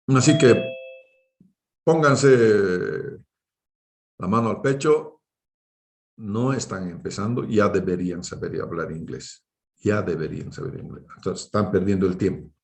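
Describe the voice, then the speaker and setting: A low-pitched man speaks as a university lecturer, his voice gravelly with fry. He explains informally and didactically, often closing statements with little tag questions. The sound is roomy and echoing, as in an online class. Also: darkish tone.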